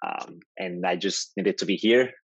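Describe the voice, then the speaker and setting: A man's voice: deep voice, moderate pace, breathy edge, dry recording, lo-fi sound. A man from Mexico with a Mexican Spanish accent, speaking as a guest in a casual tech interview, enthusiastic and often checking in with "right?". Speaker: developer advocate guest.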